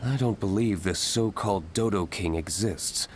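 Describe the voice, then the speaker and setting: A man's voice: low voice, normal speed, slight background noise, close to an everyday voice. A man playing a stern, brooding young nobleman in a video game, speaking in a terse, dry, skeptical manner. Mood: serious. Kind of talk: video game cutscene acting.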